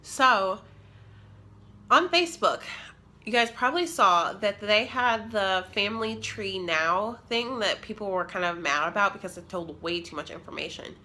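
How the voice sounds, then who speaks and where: A woman's voice: mid-range voice, moderate pace, bright, forceful tone. A woman in her twenties telling a personal story in a casual, rambling way, sounding nasal and congested from a cold. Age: 20s. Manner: rambling, casual, many fillers. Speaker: vlogger. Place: living room, sitting on the floor.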